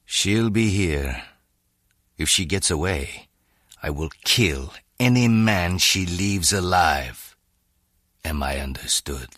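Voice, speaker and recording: A man's voice: deep voice, expressive, slow pace, long pauses, fairly bright tone, no echo, clean studio sound, exaggerated voice. A man playing a ruthless, menacing villain crime boss in a video game, giving commands.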